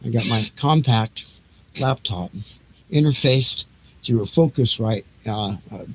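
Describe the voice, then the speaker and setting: Heavy noise and clicks sit around a man's voice of middling pitch. He talks in a casual, rambling way, muttering distractedly to himself and pausing often, like a streamer setting up his gear live. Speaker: audiobook reader and live streamer. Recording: noisy live home-studio recording with clicks.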